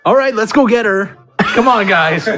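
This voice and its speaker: A man plays a tabletop game with friends. His talk is casual, joking and half in character, in a higher male voice over light music. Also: pushed and bright. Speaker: tabletop role-playing game player.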